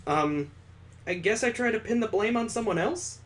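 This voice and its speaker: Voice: medium pitch, animated, moderate pace, natural voice. A game streamer voicing an earnest, worried young son. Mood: unsure.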